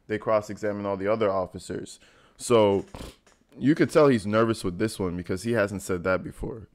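A man's voice: lowish voice, moderate pace, a little hiss or hum. A young American man giving casual reaction commentary in slangy, profane, conversational speech. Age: young adult. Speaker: reaction video host. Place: small indoor home room, gaming chair at desk.

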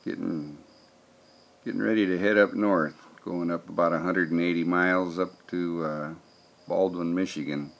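A man's voice: low-pitched, steady tone, moderate pace, gravelly. A man, narrating casually in a plainspoken, folksy way. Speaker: vlogger narrating fishing trip.

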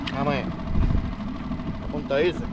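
A man with a Puerto Rican accent giving casual, excitable fishing commentary to viewers from a kayak at sea. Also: a little high, slow. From Puerto Rico. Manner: excitable, exclaiming, talking to viewers while fishing. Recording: loud wind, water and boat noise. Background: open water and boat noise.

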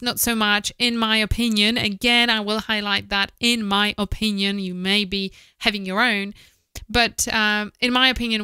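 A woman's voice: mid-range voice, pushed and bright, clear, resonant tone, dry recording. A woman in her thirties giving informal, candid advice in a conversational, opinionated way, speaking close into a studio microphone. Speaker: voice-over producer giving advice. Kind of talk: informal advice to camera, podcast style. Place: small indoor office. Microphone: close studio microphone with foam windscreen, headphones.

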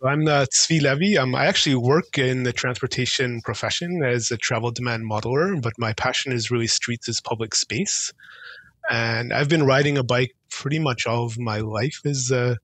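Guest: middle-aged man from israel, relaxed, thoughtful, headset mic, dry sound. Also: mid-range voice, moderate pace, breathy edge, no echo. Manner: relaxed, thoughtful, many fillers and restarts. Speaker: guest, transportation consultant. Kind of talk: casual podcast chat. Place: home office with bookshelves. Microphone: headset mic on video call.

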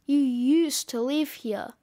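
Gruff wise-guy snowman for a children's audiobook, played by a woman: bossy, cheeky, unhurried. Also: high voice, mellow, no room sound, clean studio sound. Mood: smug, bossy. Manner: slangy, brash, dismissive.

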